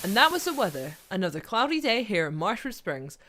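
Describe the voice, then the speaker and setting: A woman's voice: medium pitch, big pitch swings, fairly quick, background noise, natural voice. A woman playing a small-town radio presenter in a radio play, with a polished announcer delivery. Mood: presenting. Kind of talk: scripted radio broadcast acting.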